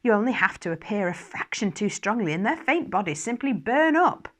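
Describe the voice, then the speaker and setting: A woman's voice: mid-range voice, very animated, fairly quick, fairly bright tone. A fretful archangel bureaucrat , voiced by a woman, formal and wry, confiding in a diary. The manner is fussy and faintly superior, in a dramatised storytelling style. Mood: urgent warning. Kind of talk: first-person dramatised diary reading.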